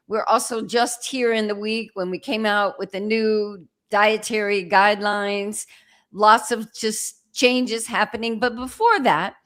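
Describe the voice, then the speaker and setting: A woman's voice: on the high side, a bit bright. Midwest lady w a bit of a dutch accent hosting a livestream, takes her time, sounds upbeat. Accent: Midwestern US with a slight Dutch accent. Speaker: host. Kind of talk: livestream interview.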